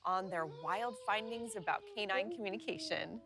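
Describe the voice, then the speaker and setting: A woman's voice: medium pitch, normal speed. Conversational female TV weather presenter. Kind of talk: conversational weather report and co-host banter.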